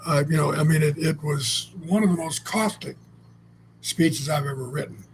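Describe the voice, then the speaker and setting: A man co-hosting a relaxed chat, speaking in a fairly high register. He tells stories in a blunt, salty, meandering way and often restarts his sentences. A faint noise sits under his voice. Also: normal speed, rough recording. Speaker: co-host. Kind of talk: casual conversational storytelling.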